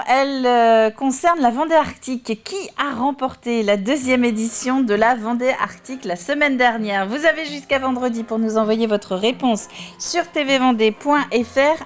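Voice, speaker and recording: A woman presenting a TV morning show, announcing segments in a brisk, scripted way. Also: a little high.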